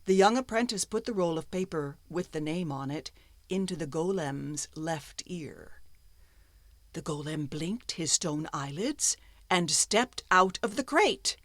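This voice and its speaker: A woman narrating a folktale as a storyteller, with expressive, dramatic phrasing. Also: low-pitched, unhurried, dry recording.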